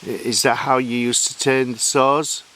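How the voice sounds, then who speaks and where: Halting Sheffield man calling out to spirits. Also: mid-range voice, little pitch movement, a bit bright. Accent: Sheffield Yorkshire. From Sheffield, England. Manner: hesitant, halting, many fillers. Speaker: paranormal investigator. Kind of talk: calling out to spirits on a ghost hunt. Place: outdoors at a woodland waterfall site.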